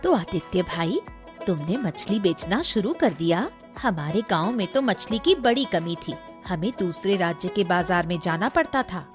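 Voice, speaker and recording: A woman playing a friendly, curious village auntie in an animated folk tale. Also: fairly low pitch, moderate pace, faint music behind, natural voice. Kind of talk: animated Hindi moral-story voice acting.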